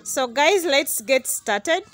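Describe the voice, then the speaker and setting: A woman's voice: high voice, animated, slow, fairly bright tone, faint music behind. A young Ugandan woman, Luganda her first language, vlogging outdoors in a village yard to a camera a few meters away, greeting viewers and calling them "guys". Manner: repeats "guys" and thanks viewers often. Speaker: vlogger host. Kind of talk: casual vlog to camera. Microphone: camera on a tripod, a few meters away.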